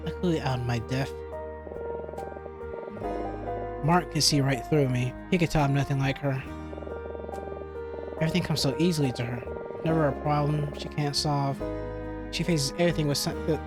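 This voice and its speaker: A young detective student in a visual novel, read aloud by a streamer, unsure but trying to stay level-headed. The voice is mid-pitched and everyday, with music clearly behind it. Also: fairly even intonation, fairly quick, natural voice. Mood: sullen, self-doubting. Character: self-doubting young detective student, steady under pressure.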